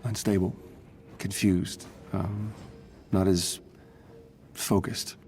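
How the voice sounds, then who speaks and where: An actor playing a weary, worried scientist hero in a video game, halting and subdued. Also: male voice, low-pitched, level tone, darkish tone, faint music behind. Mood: weary, troubled.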